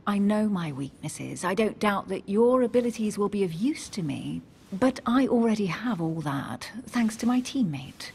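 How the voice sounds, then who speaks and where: A woman's voice: mid-range voice, animated, moderate pace, creaky, darkish tone, a little hiss or hum. An actress playing a guarded, witty, self-possessed woman of a secret society in a video game, composed and articulate. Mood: measured, reserved. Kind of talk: dramatic video game dialogue. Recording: music under the voice.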